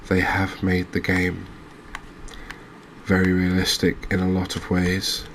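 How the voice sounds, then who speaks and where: A man giving soft-spoken, relaxed ASMR-style game commentary in a hesitant, musing, understated way, as a gaming video creator. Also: deep voice, unhurried, a little hiss or hum.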